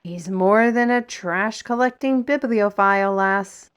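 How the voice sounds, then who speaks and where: Woman acting sarcastic young artist lady in a fantasy audio drama, mid pitched, defiant, doesn't suffer fools. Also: a little slow, clean tone, lightly acted. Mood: wry. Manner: sarcastic, pointed. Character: defiant, sardonic young female artist steward in a fantasy world.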